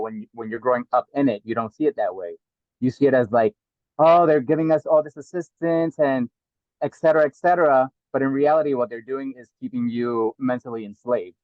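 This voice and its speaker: New guy in his middle years, thinks out loud, repeats himself, dead dry muffled call audio. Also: medium pitch, fairly even intonation, brisk, no room sound. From New York (South Bronx). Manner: earnest, reflective, repeats words as he thinks. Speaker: guest. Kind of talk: casual interview talk.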